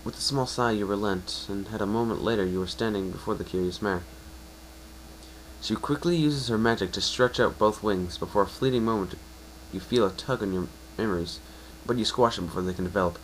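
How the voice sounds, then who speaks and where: A man reading a story aloud in the second person as a dramatic narration, a little haltingly. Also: lowish voice, a little hiss or hum, low-quality recording.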